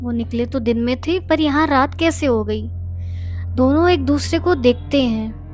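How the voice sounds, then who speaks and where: A woman's voice: high-pitched, unhurried, a little mellow. A woman narrating a scripted story as a storyteller. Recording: music behind the voice.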